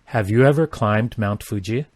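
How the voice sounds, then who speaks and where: A man's voice: on the low side, normal speed, no echo. Man reading practice sentences twice. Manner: reads each sentence twice, careful and even. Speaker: English sentence reader. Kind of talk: slow repeated sentence reading for learners.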